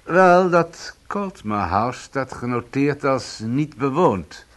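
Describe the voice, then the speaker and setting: A man's voice: medium pitch, lively intonation, takes it slowly. A man playing a coolly insistent police detective in a Dutch radio play, curt, probing and formal.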